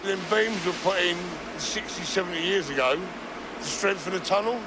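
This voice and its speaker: A man with a high-pitched voice gives colloquial, unscripted work talk as a foreman. Clear rumbling site noise surrounds him in the tunnel. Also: normal speed. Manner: colloquial, non-standard grammar. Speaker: construction foreman. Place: underground tunnel worksite.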